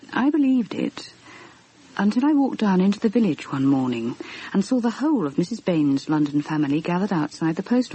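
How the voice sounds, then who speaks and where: A woman's voice: low voice, dark tone, husky, heavily acted, low-quality recording. A woman playing an earnest, troubled narrator of a ghost story, in an intense, literary radio drama style. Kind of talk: dramatic first-person narration and radio acting.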